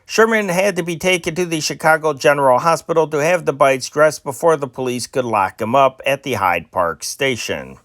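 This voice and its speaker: A man's voice: on the high side, normal speed, hardly any pauses, fairly bright tone, no room sound, clean studio sound. A man reading old newspaper stories aloud as an amateur news reader, stumbling and correcting himself now and then.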